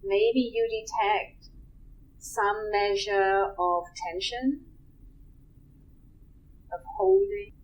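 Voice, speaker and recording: A woman leading a guided relaxation, speaking softly and unhurriedly with long pauses. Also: medium pitch, steady tone, muffled recording.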